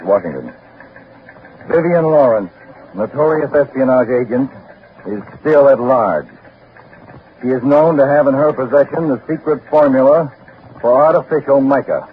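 An actor with an American accent playing a grave counterespionage chief, reading an official bulletin formally and urgently in a vintage radio drama. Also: male voice, mid-range voice, natural voice. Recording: tinny, noisy old radio broadcast.

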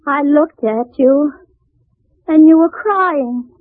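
An actress playing a frightened wife with melodramatic, pleading delivery, in old-time radio drama style. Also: female voice, high voice, slow pace, dry recording, narrow, tinny audio. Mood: haunted. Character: frightened, pleading wife recounting a terrifying dream. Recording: thin band-limited old radio recording, music behind.

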